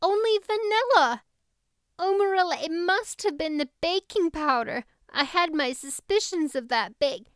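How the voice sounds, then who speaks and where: A woman's voice: very high voice, expressive, a little slow, fairly bright tone, no echo, studio quality, voice pushed up, full character voice. A woman voicing a melodramatic, anguished, talkative young girl in a storybook, with a wailing, overwrought manner.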